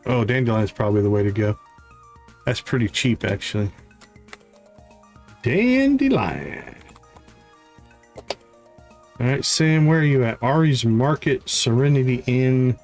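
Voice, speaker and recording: A man streams a game with casual, unhurried commentary in a mid-range voice. He thinks aloud in short remarks, often repeating a word to himself. Quiet music plays behind him. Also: mid-range voice, very animated. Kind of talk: casual live gameplay commentary.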